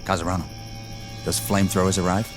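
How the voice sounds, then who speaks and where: Man acting an arrogant, low-voiced army lieutenant. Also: flat delivery, quick pace, close to an everyday voice. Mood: confident, cold. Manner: commanding, scornful, clipped. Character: arrogant, zealous young army lieutenant eager to kill. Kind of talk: radio drama acting. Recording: radio drama with music underneath.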